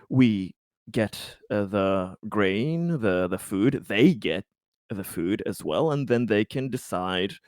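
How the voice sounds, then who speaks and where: A man playing a tabletop game, narrating his character in deliberate, wordy, mock-formal phrases. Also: fairly low pitch, a little mellow, dry recording. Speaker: player in a tabletop roleplaying game.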